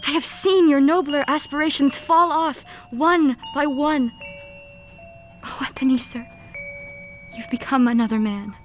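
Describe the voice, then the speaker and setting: A woman's voice: sits high, unhurried, faint music behind. A woman playing a tender young woman, heartbroken yet resolute, speaking formally and earnestly in an old-time radio play. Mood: sorrowful.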